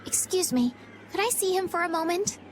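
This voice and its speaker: A gentle young healer in a video game. Her voice is high and courteous, earnest and softly delivered, with faint noise behind it. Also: animated, fairly quick. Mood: polite, concerned.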